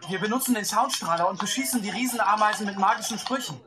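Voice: mid-range voice, fast, long reverb tail, over music, close to an everyday voice. A performer playing a young, inventive child gamer in a radio drama. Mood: eager, casual.

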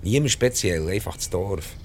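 An older actor with a Swiss accent playing an ailing, ruthless rich emigrant in a radio drama, halting, blunt and short of breath. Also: male voice, deep voice, animated, slight background noise. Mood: pained, confessing. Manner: halting, blunt, world-weary monologue. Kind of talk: radio drama acting in Swiss-coloured German.

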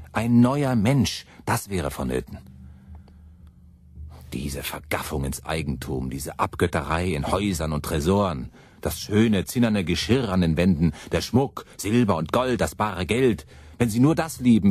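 An actor in a German radio play playing a fiery, embittered radical preacher, with a husky, declamatory and biting delivery. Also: male voice, deep voice, a little mellow, no room sound, heavily acted.

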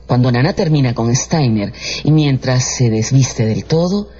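A man's voice: mid-range voice, expressive, unhurried, warm tone. A man narrating a scripted radio drama in a storytelling role. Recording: dull radio recording with music.

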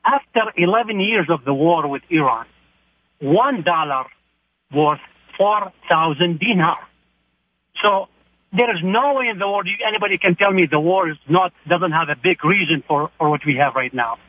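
A man's voice: high-pitched, moderate pace, no echo. A man from Iraq calling in to a radio talk show, earnest and searching as he talks about his situation. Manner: earnest, searching, plain-spoken. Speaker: caller. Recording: phone line.

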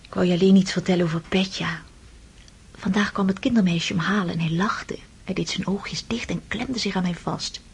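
A woman's voice: medium pitch, a little mellow, a little hiss or hum, close to an everyday voice. An actress playing a tender, devoted young wife and mother in a radio drama, with a whispery, intimate delivery. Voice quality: breathy, whispery.

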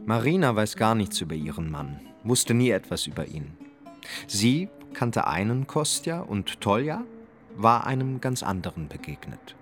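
A man's voice: fairly low pitch, sing-song, measured pace, soft background music. An actor as the sober, knowing narrator of a radio drama, telling of another man's inner life.

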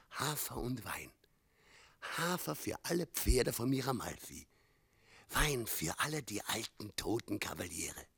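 An old, scrawny coachman in a radio drama, his voice cracked with heavy creak. He wheedles with morbid charm and swoops up and down in pitch. The sound is close and dry. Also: a bit bright, no echo, exaggerated voice. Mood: eerie, rapt. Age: older. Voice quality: gravelly, husky, breathy. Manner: theatrical, chuckling, slow and swooping. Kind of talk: German radio play acting.

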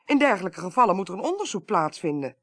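A brisk, husky, animated professional woman. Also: medium pitch. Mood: urgent, businesslike. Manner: direct, businesslike. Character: brisk, self-assured professional woman investigating a case. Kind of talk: radio play acting.

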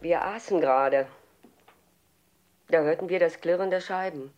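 An actress playing a housewife eyewitness in a documentary radio drama, recounting events in plain colloquial speech. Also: female voice, on the low side, normal speed, darkish tone, muffled recording, close to an everyday voice. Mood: indignant recollection.

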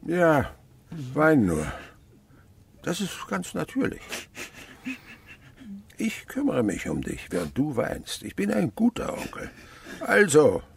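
An actor playing a gruff, sly, miserly older husband and uncle in a radio drama, grumbling and sarcastic. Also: male voice, fairly high pitch, huge pitch swings, slow, long pauses, exaggerated voice. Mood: feigned kindness. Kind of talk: German radio drama acting.

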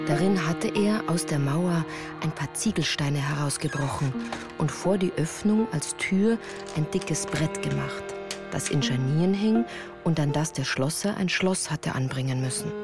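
An actress playing a woman who recalls her village childhood, narrating in measured, reflective literary prose for a radio play. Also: low-pitched. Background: music.

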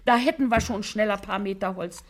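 A woman's voice: medium pitch, a little fast, close to an everyday voice. An actress playing a scheming, bossy working-class wife and mother in a radio play, scolding and wheedling in German regional dialect.

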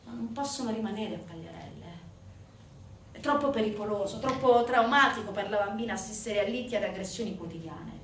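A woman performing a theatrical narrative monologue, emphatic, with deliberate pauses and swings between hushed and forceful delivery. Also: medium pitch. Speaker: stage actress and narrator. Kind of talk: dramatic theatrical monologue storytelling. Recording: distant, echoey room recording with faint noise.

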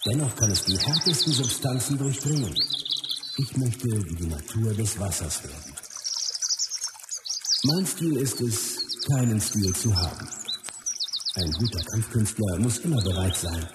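An actor playing a calm, philosophical martial arts master in a radio docudrama, musing in a measured, solemn way. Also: male voice, lowish voice. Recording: very echoey, long reverb, loud nature noise.

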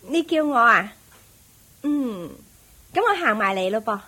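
High-voiced, slow-drawling brazen courtesan. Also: female voice, very animated, pushed and bright, full character voice. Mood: mocking. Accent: Hong Kong Cantonese. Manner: teasing, drawling, mocking. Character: brazen, world-weary courtesan, flirtatious and bitter. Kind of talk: Cantonese radio drama acting.

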